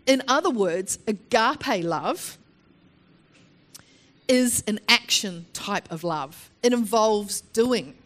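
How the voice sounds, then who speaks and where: A woman preaching a sermon in the open air, sniffly from hay fever, earnest and explanatory with frequent pauses and restarts. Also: medium pitch, lively intonation, fairly bright tone.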